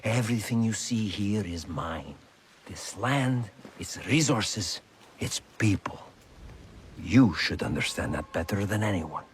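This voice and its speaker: A man's voice: lowish voice, expressive, a little slow, a little hiss or hum. A man voicing an arrogant, ruthless slaver villain in a video game, pompous and taunting in manner. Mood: smug menace. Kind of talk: dramatic video game acting.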